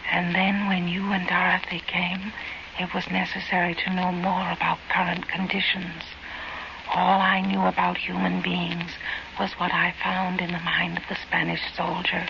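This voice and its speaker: Vlak, krakend buitenaards vrouwelijk wezen. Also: female voice, lowish voice, completely flat delivery, creaky. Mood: detached, explaining. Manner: measured, detached, deliberate. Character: alien intelligence from Alpha Centauri speaking through an absorbed human woman's voice. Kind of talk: old-time radio horror acting. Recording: noisy, muffled old radio broadcast.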